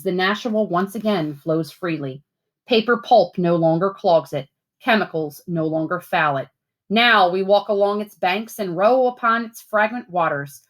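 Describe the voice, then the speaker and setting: A woman reading aloud as a teacher to schoolchildren, with expressive, deliberate phrasing. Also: mid-range voice. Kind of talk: read-aloud storytelling and simple instructions for children.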